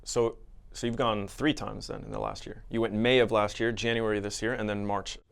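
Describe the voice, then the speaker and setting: Male podcast host, low smooth voice, courteous interviewer. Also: level tone, normal speed. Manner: polite, checks facts with guests.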